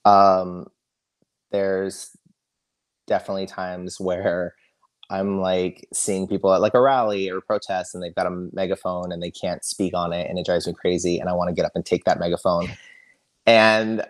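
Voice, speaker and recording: Low-voiced chatty man, laughing easily. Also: level tone, normal speed. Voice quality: loud voice. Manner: chatty, laughs often, candid. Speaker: guest. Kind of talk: casual interview chat.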